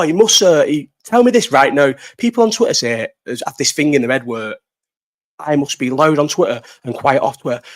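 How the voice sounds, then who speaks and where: A young man talks fast and loosely, hosting a live chat and answering viewers. He rambles, swears and laughs often. His pitch sits in the middle of the usual male range. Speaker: livestream host. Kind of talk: casual live chat reading comments.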